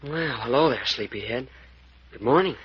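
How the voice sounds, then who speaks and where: A man's voice: medium pitch, animated, normal speed, slightly rough. An actor playing an earnest, protective young man in a vintage radio serial. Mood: tender, reassuring. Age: young adult. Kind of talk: 1940s radio serial acting. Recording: muffled old-time radio recording.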